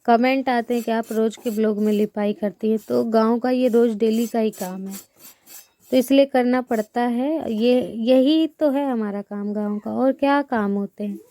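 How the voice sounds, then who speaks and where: A woman's voice: fairly high pitch, steady tone, normal speed, dark tone. A young woman from India with a sore throat, chatting casually to her viewers as she sweeps and plasters her village house with mud. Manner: chatty, rambling, addresses viewers as friends. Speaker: village vlogger. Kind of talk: casual chat to viewers while doing chores.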